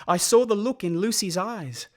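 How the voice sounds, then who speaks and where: A man's voice: sits high, moderate pace, fairly bright tone. An actor playing a reflective man narrating memories of his boyhood in a radio play, wistful and literary. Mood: anxious. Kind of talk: scripted first-person narration.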